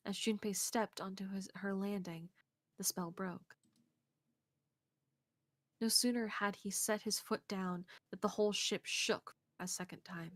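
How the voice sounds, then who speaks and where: A woman reading visual novel narration aloud on a game stream in an expressive way, close to the mic. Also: mid-range voice, a little slow. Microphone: close streaming mic, dry sound.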